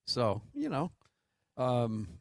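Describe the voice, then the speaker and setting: A man with an American accent and medium pitch co-hosts a sports talk show. His delivery is casual, conversational and reassuring. The sound is dry and close. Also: wildly swooping pitch, extremely slow, gravelly, no room sound. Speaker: radio co-host.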